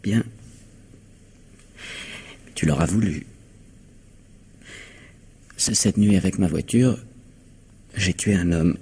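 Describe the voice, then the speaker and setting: Deep-voiced, weary troubled student son. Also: male voice, slow, pauses often, warm tone, husky, full character voice. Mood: grim confession. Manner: weary, hesitant, evasive. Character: troubled, evasive student son hiding a killing. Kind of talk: French radio mystery drama acting.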